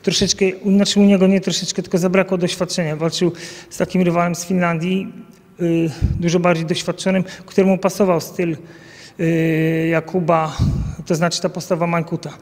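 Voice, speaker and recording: Man, thirties, coach, hesitant with lots of fillers, slightly raised pitch, long reverb, handheld mic outdoors. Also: level tone, moderate pace, darkish tone. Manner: hesitant, many fillers and restarts. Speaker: national team coach. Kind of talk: interview answers. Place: outdoors by a banner at an event. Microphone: handheld mic held by interviewer.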